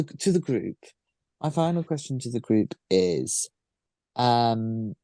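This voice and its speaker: Male podcast host, unhurried and hesitant, soft dark tone, dry sound, conversational. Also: medium pitch, no room sound. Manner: hesitant, searching for words. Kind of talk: casual interview chat.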